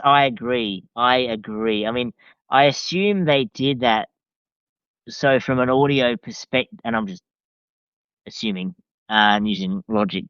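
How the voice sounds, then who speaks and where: A man's voice: medium pitch, fairly bright tone, smooth, dry recording, dull audio. A man reviewing as a podcast guest in a casual, hesitant way, often restarting his phrases.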